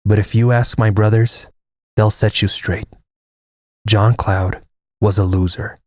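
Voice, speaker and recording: Guy doing a fatalistic navajo war pilot for a comic audiobook, lowish voice, grave and measured, really dry sound. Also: steady tone, darkish tone, dry recording. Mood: resigned, calm. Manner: solemn, measured delivery. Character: stoic Navajo lieutenant of the US Army Air Force, fatalistic. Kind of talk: dramatic first-person narration and dialogue in an audio comic.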